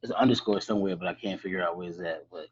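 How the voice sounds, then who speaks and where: A man's voice: low-pitched, rapid-fire, lo-fi sound, a little hiss or hum. A man co-hosting a podcast, in laid-back, rambling casual chat. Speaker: podcast co-host.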